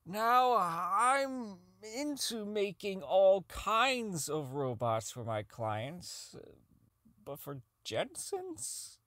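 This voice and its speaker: Man acting a bitter, rambling, high-voiced engineer. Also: sing-song, a bit bright, no room sound, exaggerated voice. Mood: indignant, agitated. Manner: rambling, halting, profane, self-deprecating. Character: socially inept, bitter, unravelling male robotics engineer. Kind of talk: audio drama monologue as a recorded personal log.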